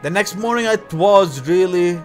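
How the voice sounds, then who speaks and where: A man's voice: high voice, unhurried. A man hosting a gaming video reads visual novel text aloud with theatrical emphasis, stumbling now and then and dropping in offhand comments. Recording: music behind the voice. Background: game music.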